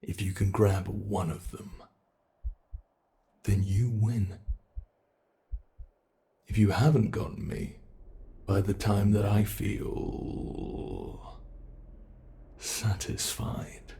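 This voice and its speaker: A man voicing a sinister, playful monster in an ASMR horror roleplay, gravelly and sometimes breathy, taunting with theatrical, unhurried menace. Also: fairly low pitch, lively intonation, mellow, exaggerated voice. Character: sinister playful male monster hunting its captive.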